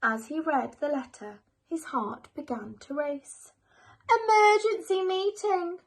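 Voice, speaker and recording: A woman reading a children's bedtime story aloud in an expressive, measured storytelling manner. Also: high voice, gravelly.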